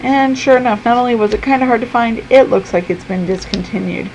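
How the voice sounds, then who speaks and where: A woman who is losing her voice talks casually to her camera from her room at home, with a gravelly, creaky edge. She chats along with frequent ums, and faint air-conditioning hum sits behind her. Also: fairly high pitch, a little fast, darkish tone, poor audio. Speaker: vlogger.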